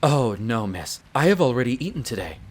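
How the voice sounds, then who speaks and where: A man's voice: mid-range voice, sing-song, fairly quick. A man playing an attentive wolf butler in an audio roleplay, speaking politely and formally with deference. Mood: reassuring, deferential.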